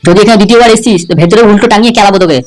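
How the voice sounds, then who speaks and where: A coarse male village henchman from a comedy cartoon as a voice actor plays him. The lines tumble out extremely fast and excitable, in a brash, scolding way, with music clearly behind. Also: mid-range voice, lightly acted, rough recording. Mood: scolding, threatening. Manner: brash, scolding, rapid-fire. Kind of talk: Bengali comedy cartoon voice acting.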